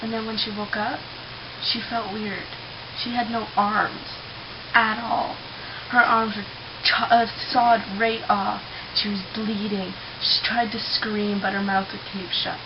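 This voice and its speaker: A young woman chatting and telling stories casually as a vlogger, with an informal, halting, giggly manner. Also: medium pitch, level tone. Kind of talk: casual chat and rambling storytelling. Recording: muffled webcam-style audio with loud hiss.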